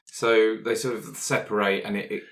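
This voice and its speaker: Low-voiced man at a game table. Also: level tone, moderate pace, vocal fry, a bit bright. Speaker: player in a tabletop roleplaying game. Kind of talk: improvised roleplay and casual table talk.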